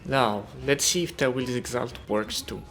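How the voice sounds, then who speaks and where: A man presents a video game review in a scripted voice-over. His voice sits at an average pitch for a man. Soft music plays underneath as he explains things as a reviewer. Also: moderate pace.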